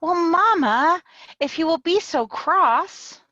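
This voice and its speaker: A woman's voice: very high voice, animated, pushed and bright, no echo, full character voice. A woman playing a petulant greedy young lady. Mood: sulky. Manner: petulant and unhurried. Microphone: video call. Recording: dull, dry video-call audio.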